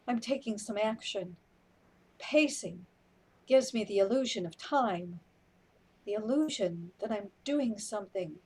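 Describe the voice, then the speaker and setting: A woman playing a wry, weary companion in a radio play, speaking in a deliberate way. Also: medium pitch, a little mellow, slightly rough. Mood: anxious, despairing. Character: unhurried, matter-of-fact companion, wry and weary.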